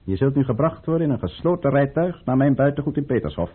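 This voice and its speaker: An actor playing a coolly calculating count and conspirator in a radio drama, speaking formally and deliberately with authority. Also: male voice, mid-range voice, expressive, slightly rough. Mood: stern. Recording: muffled old radio play recording.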